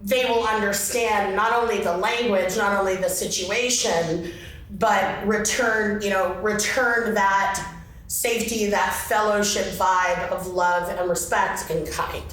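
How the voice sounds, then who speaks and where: Woman participant, conversational, rambling, medium pitch, far from mic, very echoey. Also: fairly even intonation, measured pace, fairly bright tone. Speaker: audience participant. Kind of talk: informal group discussion. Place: large indoor convention room. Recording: echoey convention room, distant, faint noise.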